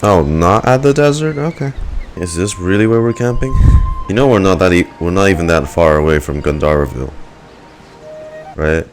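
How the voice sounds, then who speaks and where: A man's voice: sits low, moderate pace, soft background music. A man giving laid-back, joking, slangy reaction commentary while he plays a game.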